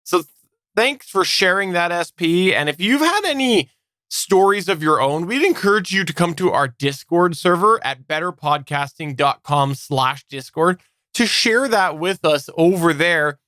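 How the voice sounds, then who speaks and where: A man's voice: high voice, lively intonation, a little slow, sharp tone, dry recording, studio quality. A man hosting a podcast, presenting to listeners in an organized way. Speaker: podcast host.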